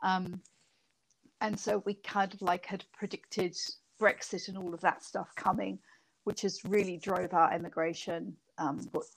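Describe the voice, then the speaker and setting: A woman's voice: on the low side, flat delivery, measured pace, creaky, poor audio. A middle-aged British woman, a former lawmaker, talking as an interview guest in a chatty, rambling way and laughing easily. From Britain. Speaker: guest, former member of parliament. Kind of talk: casual interview chat.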